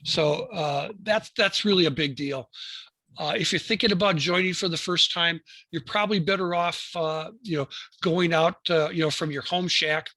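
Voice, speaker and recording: A man giving an informal slide presentation to a hobby club, speaking in a halting way and often rephrasing himself. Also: fairly high pitch, fairly even intonation, bright, forceful tone, no echo. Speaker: presenter at an amateur radio club.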